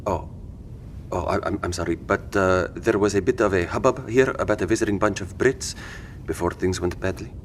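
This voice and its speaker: An actor playing a kindly, flustered civilian man in an audio drama, with a hesitant, gentle, wordy manner. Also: sits low, moderate pace, slight background noise, lightly acted. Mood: hesitant, apologetic. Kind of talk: scripted audio drama acting.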